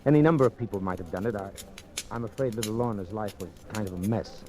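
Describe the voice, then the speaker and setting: Actor as smooth-talking 1940s radio ladies' man. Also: fairly low pitch, fast, a little mellow, a little hiss or hum, close to an everyday voice. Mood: casual, guarded. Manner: breezy, insinuating, self-assured. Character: smooth-talking, flirtatious Hollywood man, confident and persuasive.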